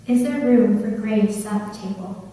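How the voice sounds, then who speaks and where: A woman leading a church service as worship leader, reading calmly and steadily. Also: mid-range voice, normal speed, slight background noise. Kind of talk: liturgical reading. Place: church sanctuary. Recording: echoey church from far off.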